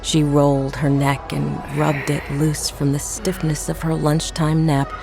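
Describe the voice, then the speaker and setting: A woman's voice: low voice, a little mellow. A woman giving a scripted narration of a horror audio drama. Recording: music and crowd noise behind narration. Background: music, crowd sounds.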